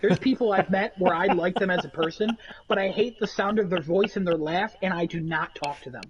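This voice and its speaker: A man with a nasal voice, rambling through animated stories in a casual chat among friends. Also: sits high, fairly quick, highs cut off, a crowd in the background. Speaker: podcast co-host.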